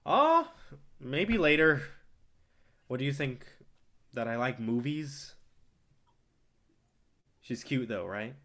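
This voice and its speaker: A man acting out a brash film distributor in a staged play reading. He is a smooth manipulator with a mid-pitched voice, swaggering and swearing as he pushes his pitch. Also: sing-song, takes it slowly, pauses often, bright, forceful tone, heavily acted. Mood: cocky. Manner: swaggering, profane, persuasive.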